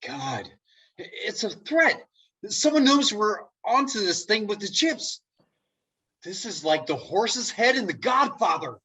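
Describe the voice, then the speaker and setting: Casting: woman as reluctant male sceptic in stage comedy, husky, animated, incredulous and argumentative. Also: medium pitch, a little slow, heavily acted. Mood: alarmed, sceptical. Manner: indignant, sarcastic, incredulous. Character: Dave, exasperated, argumentative young man, the reluctant sceptic. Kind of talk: comic script table reading. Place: remote video call.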